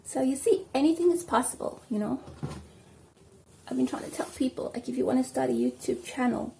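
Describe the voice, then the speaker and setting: A talkative South African woman, recorded on a phone at home, speaks in a fairly high but mellow voice. She rambles loosely through a hair tutorial with plenty of ums. Faint background hiss sits under her speech. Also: brisk, vocal fry. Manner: chatty, rambling, with many ums. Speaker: vlogger. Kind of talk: casual hair tutorial chat.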